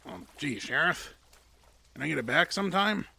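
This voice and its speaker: An actor playing a meek, slow-witted backwoods man with a hesitant, folksy way of talking. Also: a little high, sing-song, creaky, bright, forceful tone, slightly rough, heavily acted. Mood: timid. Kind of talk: audio drama acting.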